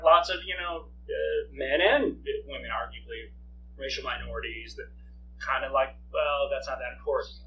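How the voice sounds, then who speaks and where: Middle-aged man, native Korean speaker, talking off the cuff to a room; somewhat distant mic, faint hum. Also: medium pitch. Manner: animated, thinking aloud with many fillers, gestures while talking. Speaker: speaker leading a campus discussion. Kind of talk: conversational talk answering audience. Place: lecture room with projection screen.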